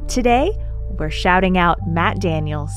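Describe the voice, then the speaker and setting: A woman's voice: fairly low pitch, expressive, measured pace, soft background music. A woman reading podcast credits and thanks as an announcer.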